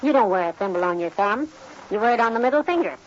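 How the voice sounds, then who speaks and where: A woman's voice: mid-range voice, expressive, fast talker, dull audio, close to an everyday voice. Long-suffering, motherly American wife played by an actress, dry and chiding, 1950s radio comedy, noisy vintage sound. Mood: fussing.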